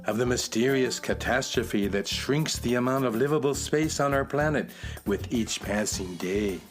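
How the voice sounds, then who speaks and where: A man's voice: mid-range voice, faint music behind, lightly acted. Portentous shopkeeper of mysterious legends played by a man. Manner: storytelling, measured, portentous. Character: knowing, mysterious male music box shopkeeper. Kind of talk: children's radio play acting.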